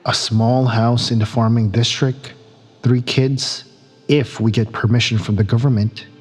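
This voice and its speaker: A man's voice: mid-range voice, fairly even intonation, unhurried, husky, soft background music. A man playing a wry, pragmatic space crewman in an audio drama, dry and a little exasperated. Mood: teasing, easygoing.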